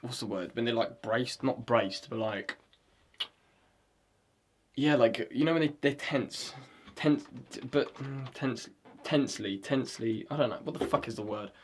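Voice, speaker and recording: A man's voice: medium pitch, measured pace. A man talking casually as he writes a script, rambling, swearing often and hunting aloud for the right word. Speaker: vlogger writing a script. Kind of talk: casual talk while writing.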